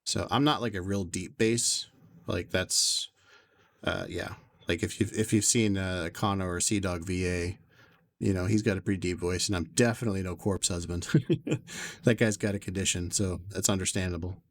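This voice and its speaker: A man streaming a game from his bedroom, chatting to his viewers in long, rambling asides in an upper bass voice, through a desk microphone with noise suppression. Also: steady tone, normal speed. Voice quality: upper bass to lower tenor range. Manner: rambling, talks to chat in long winding asides. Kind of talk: casual chat while streaming a game. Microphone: desk USB condenser mic with noise suppression.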